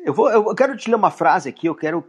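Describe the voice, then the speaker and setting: Husky Brazilian man hosting. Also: high voice, expressive, fast. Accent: Brazilian Portuguese. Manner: friendly, chatty, with restarts. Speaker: host. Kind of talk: podcast interview.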